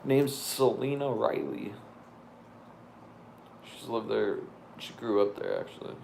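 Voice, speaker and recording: A young man with a gravelly, creaky voice chats easily on a home live stream and keeps his answers short and relaxed. Faint room noise runs underneath. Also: mid-range voice, slow pace. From Montana. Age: young adult. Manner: laid-back, brief answers. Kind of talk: casual live stream chat.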